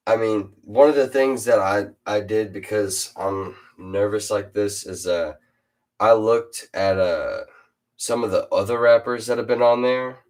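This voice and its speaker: A teenage boy with a very deep voice, hosting a casual chat with a fellow rapper and hesitating often. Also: a little slow, a little mellow. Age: teens. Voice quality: super deep voice. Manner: hesitant, lots of uh and restarts. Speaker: host interviewing a fellow rapper.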